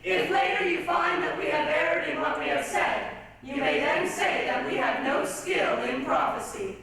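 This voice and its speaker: A woman's voice: high-pitched, animated, normal speed, a crowd in the background. A woman performing readers' theatre, playing a solemn fortune teller and chorus narrator with theatrical, incantatory delivery. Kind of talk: readers' theatre stage recitation. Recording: very echoey stage, distant mic, faint noise.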